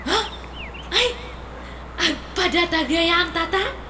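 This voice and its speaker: A woman's voice: extremely high pitch, animated, slow, bright, forceful tone, full character voice. A woman playing a giggly, weepy single girl. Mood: dramatic. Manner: laughing, teary, dramatic delivery. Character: emotional single young woman, dramatic and giggly. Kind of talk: radio drama acting. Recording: echoey room with clear noise.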